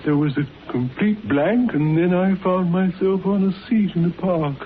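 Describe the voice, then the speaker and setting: Dazed, highish-voiced man on old radio. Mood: dazed, agitated. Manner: halting, agitated. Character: dazed, bewildered man recalling a strange blackout. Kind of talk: classic radio drama acting. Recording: muffled, hissy old radio recording.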